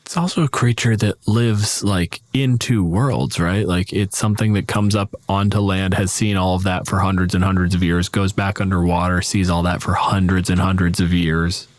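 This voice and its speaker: A man's voice: low-pitched, fairly even intonation, normal speed, few pauses, dry recording, studio quality. A man hosting a podcast, chatting about tabletop gaming in an informal, wry way. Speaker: podcast host.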